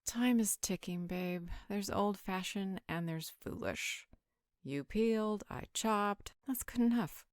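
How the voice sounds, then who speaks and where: A woman's voice: medium pitch, takes it slowly, dry recording, natural voice. A woman playing a haughty, flirtatious vampire with a dry, sarcastic manner in a roleplay audio drama. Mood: smug, playful.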